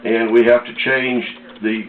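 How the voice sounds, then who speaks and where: An American man giving a casual, unhurried product demonstration in plain words, pausing as he explains. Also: mid-range voice, level tone. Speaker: radio seller demonstrating a set. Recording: echoey, dull lo-fi audio with faint noise.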